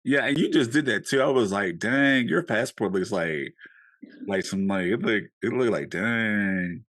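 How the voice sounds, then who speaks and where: A man hosts a podcast with easy, slangy chatter. His voice sits low, and it sounds close and dry, with no room sound around it. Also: expressive, unhurried, fairly bright tone, no echo. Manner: laid-back, slangy. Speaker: podcast host. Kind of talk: casual conversation.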